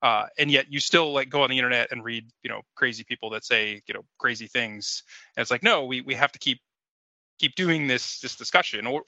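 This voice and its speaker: A man chatting casually as a podcast guest, halting and reflective, over a lo-fi connection. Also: medium pitch, vocal fry, pushed and bright, no echo.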